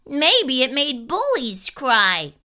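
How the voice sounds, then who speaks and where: A woman reading a children's picture book as an earnest young boy telling his story, in an expressive, drawn-out manner. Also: high-pitched, full character voice. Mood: wondering.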